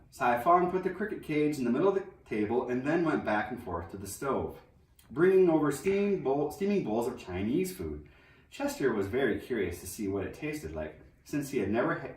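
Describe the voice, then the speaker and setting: A man reading a children's novel aloud in an expressive storybook narration style. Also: medium pitch, normal speed. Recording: roomy echo, distant microphone.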